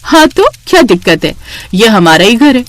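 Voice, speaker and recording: A woman plays an affectionate elder sister and police inspector in a family story. She is teasing and warmly bossy, with a lively, swinging pitch and a dry, close sound. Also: normal speed, no echo. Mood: reflective, fond.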